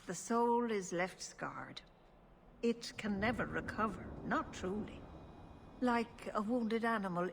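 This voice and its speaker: A woman voicing a stern, grave clan elder in a fantasy video game, speaking in a measured, formal way. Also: mid-range voice, vocal fry, slight background noise. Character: stern older female elven clan keeper, grave and resolute.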